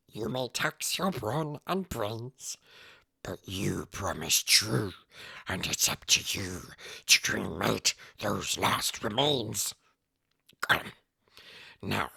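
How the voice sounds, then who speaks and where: Casting: male actor as wretched little fantasy creature, twitchy and whining. Harsh, forceful strained rasp, erratic swoops, slow dramatic recitation, close dry sound. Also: fairly low pitch, dry recording, exaggerated voice. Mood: haunted, brooding. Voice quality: raspy, croaky, strained throat. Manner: talks to self in plural, erratic swoops. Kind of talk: dramatic poem recitation in character.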